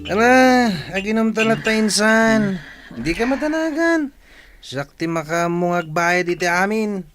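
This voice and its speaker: A man's voice: high-pitched, animated, a little slow, fairly bright tone, soft background music. A man with an Ilocano accent playing a teasing, sly, pushy young cousin in a radio drama, boisterous and wheedling. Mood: cajoling.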